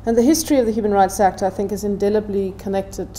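Brief: middle-aged woman, academic panelist, mellow relaxed voice, deliberate delivery, slight room noise. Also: mid-range voice. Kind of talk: prepared seminar talk. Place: indoor seminar room, seated at table.

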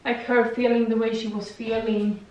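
Young woman rambling on, kinda high voice, echoey living room, far from the cam. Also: little pitch movement, moderate pace, darkish tone, dull audio. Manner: rambling, chatty, frequent "okay?" tags. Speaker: vlogger. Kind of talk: casual chat while doing yoga. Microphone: far camera.

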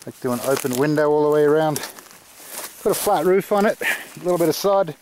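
A man's voice: a little high, brisk, slight background noise. Rambling Australian man outdoors. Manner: rambling, relaxed, many fillers and restarts. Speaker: channel host. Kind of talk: casual walking tour vlog. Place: outdoors in rural woodland.

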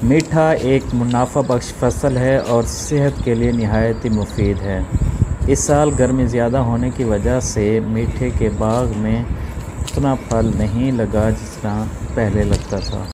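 A man calmly narrating his farm vlog in a measured, informative way. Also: medium pitch, background noise. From Pakistan. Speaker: vlogger. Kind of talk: voice-over narration of a village vlog.

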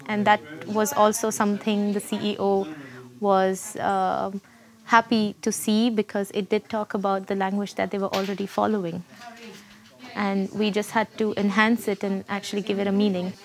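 A woman in her twenties from India with an Indian accent, speaking unscripted as a design student in an interview. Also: mid-range voice. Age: 20s. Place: busy classroom studio, indoors. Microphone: lapel mic. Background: soft background music.

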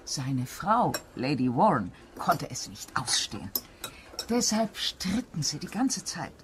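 Gossipy housekeeper with swooping intonation. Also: female voice, low voice, very animated, slow, background noise, heavily acted. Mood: confiding. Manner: confiding, dramatic storytelling. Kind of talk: German mystery radio play acting.

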